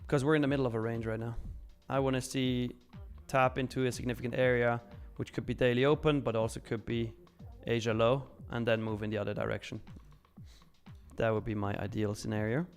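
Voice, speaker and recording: Male livestream host from Switzerland, mid-pitched, informal and frank, chatting to viewers over faint background music. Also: fairly even intonation, brisk. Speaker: live trading stream host. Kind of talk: casual livestream chat answering viewers and commenting on trades.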